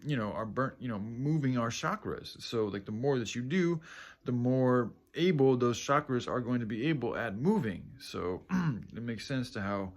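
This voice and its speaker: A man with a groggy, tired voice talking casually to viewers in a halting, self-correcting, sweary ramble, often clearing his throat. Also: mid-range voice, lively intonation. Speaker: vlogger.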